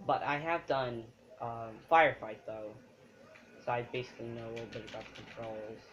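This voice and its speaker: A man's voice: medium pitch, steady tone, fairly quick, slight background noise. A man giving casual, offhand gameplay commentary, muttering small exclamations as he plays. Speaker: let's play commentator. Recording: dull lo-fi camera recording of TV. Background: loud game music.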